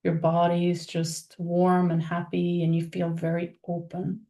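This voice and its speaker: Slow, gravelly-soft woman guiding meditation. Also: fairly low pitch, completely flat delivery. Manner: soothing, unhurried, with long pauses between phrases. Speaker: guided meditation leader. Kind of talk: guided meditation, soft spoken visualization.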